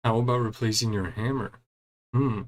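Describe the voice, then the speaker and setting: A man's voice: mid-range voice, fairly even intonation, moderate pace. Casual man doing chatty let's-play commentary. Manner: chatty, murmurs short reactions between read lines. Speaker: gaming streamer playing through a story quest. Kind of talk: casual let's-play commentary, reading game dialogue aloud.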